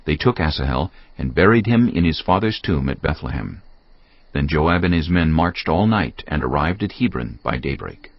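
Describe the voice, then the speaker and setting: A man's voice: rumbling low, animated, moderate pace. A man narrating a dramatized Bible as a scripted storytelling reading. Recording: dull lo-fi audio with music behind. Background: faint music.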